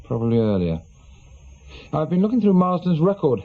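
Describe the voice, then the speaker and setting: Terse English senior detective, slightly muffled. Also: male voice, a little high, big pitch swings, normal speed. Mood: businesslike. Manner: terse, authoritative, questioning. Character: brisk, sceptical senior police detective in command. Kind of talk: British radio drama acting.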